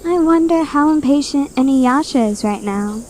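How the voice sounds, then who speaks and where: A sweet teenage girl played by a woman in a fan audio drama, high-pitched and wistful. She sounds sleepy and fond, in a home recording with noticeable background noise. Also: animated, moderate pace, a little mellow. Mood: wistful, tired.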